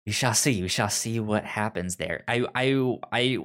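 Slow, halting podcast co-host, unclear gender. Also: mid-range voice, fairly bright tone. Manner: hesitant, stammering delivery. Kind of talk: casual book discussion.

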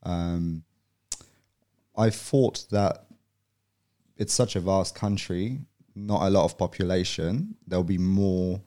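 A young man from Poland, a podcast guest, talking in a relaxed way and often pausing with "um" and "you know". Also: low voice. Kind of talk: casual podcast conversation.

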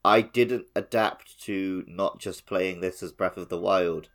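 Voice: sits low, fairly even intonation, unhurried, soft background music. A podcast co-host chatting casually and conversationally about a video game.

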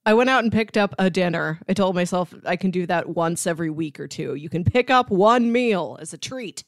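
A woman's voice: lowish voice, expressive, brisk, dry recording, clean studio sound. A woman in her thirties co-hosting a podcast, talking casually about movies. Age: 30s. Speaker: podcast co-host.

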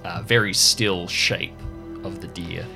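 A man with a fairly low voice acts as game master. He narrates scenes and rules in a measured, descriptive style, with music playing behind him. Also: fairly even intonation, gravelly.

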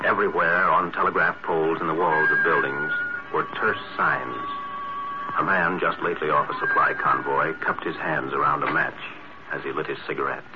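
A man reading scripted 1940s radio announcements and narration in a formal, emphatic, deliberate manner. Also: very deep voice, loud music behind the voice. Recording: noisy muffled vintage radio recording.

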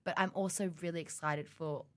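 A woman's voice: on the low side, brisk. A young woman, a student co-hosting a podcast, talking casually in a giggly, rambling way. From Australia. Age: young adult. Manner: giggly, rambling, animated storytelling. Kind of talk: casual chat.

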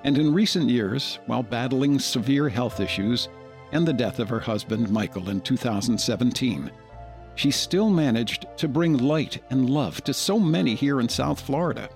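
A man hosting a podcast, reading a scripted tribute in a narrator's style. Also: mid-range voice. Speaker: podcast host.

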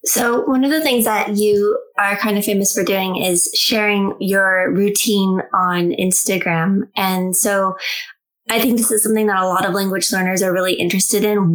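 A woman's voice: mid-range voice, a bit bright. A woman co-hosting a podcast, asking the guest questions. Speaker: podcast co-host. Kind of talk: podcast interview.

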